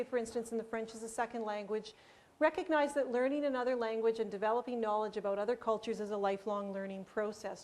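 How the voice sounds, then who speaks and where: A woman giving a conference presentation on language teaching, explaining ideas and pausing with fillers. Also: fairly high pitch, darkish tone.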